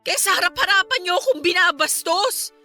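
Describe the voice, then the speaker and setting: A wounded but defiant young wife in a radio drama. Her voice is very high and piercingly bright, full of pleading and indignation. Music plays clearly beneath her. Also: expressive, a little fast, heavily acted. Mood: hurt, reproachful. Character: hurt young wife and mother, proud, defiant. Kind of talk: Filipino radio drama acting.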